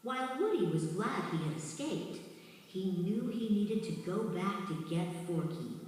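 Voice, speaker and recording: A woman's voice: mid-range voice, animated, measured pace, darkish tone. A woman reading scripted children's storybook narration as the narrator of a read-along. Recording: very echoey distant hall, music underneath.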